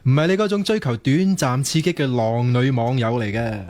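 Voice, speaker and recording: A man plays an earnest young student programmer with a mid-pitched voice and a Hong Kong Cantonese accent. He is lovelorn and wryly self-mocking, with a sighing delivery suited to radio drama banter. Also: moderate pace, darkish tone, close to an everyday voice.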